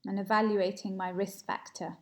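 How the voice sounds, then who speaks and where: A woman in her thirties walking through a software demo, explaining with frequent ums and uhs, recorded on a laptop webcam at home. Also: medium pitch, flat delivery, moderate pace. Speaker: product presenter.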